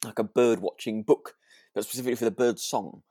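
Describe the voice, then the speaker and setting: A man's voice: mid-range voice, steady tone, fairly quick, warm tone, husky, no room sound, studio quality. A man with a British accent, co-hosting a podcast in a casual, conversational way. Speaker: podcast co-host. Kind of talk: casual chat.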